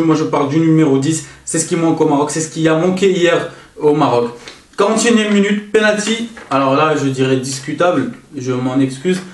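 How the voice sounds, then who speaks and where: Man, football analyst, slightly high voice, halting delivery, informal monologue, very roomy sound. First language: French-speaking, not an Arabic speaker. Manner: hesitant, halting, self-correcting delivery. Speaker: football analyst presenting his own video. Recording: roomy echo, distant microphone.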